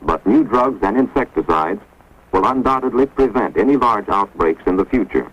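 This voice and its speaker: A man giving measured, grave scripted narration for an instructional film, recorded on a dull, lo-fi 1950s film soundtrack. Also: on the low side, lively intonation, breathy edge. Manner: measured, grave, authoritative delivery.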